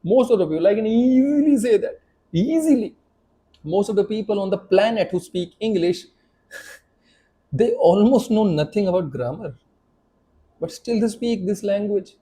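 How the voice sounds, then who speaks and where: A man's voice: sits high, lively intonation, moderate pace, long pauses, dark tone. A man in his thirties with an Indian accent, a native Hindi speaker, giving an emphatic spoken English lesson to camera, repeating and restarting phrases as he pushes his point.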